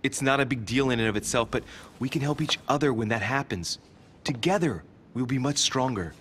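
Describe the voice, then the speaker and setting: An actor playing a persistent, earnest young investigator from a secret society in a video game, coaxing and insistent. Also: male voice, mid-range voice, a little fast, fairly bright tone, slight background noise, close to an everyday voice. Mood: persuasive. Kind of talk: dramatic video game dialogue. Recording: music under the voice.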